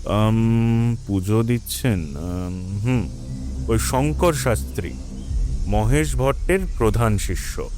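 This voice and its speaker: Talkative young villager, audio drama. Lowish pitch, colloquial, confiding, checks you follow. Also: male voice, slow. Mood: casual, easygoing. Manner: colloquial, often checks listener understands. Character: young village man, friendly, talkative, gossiping to his friend.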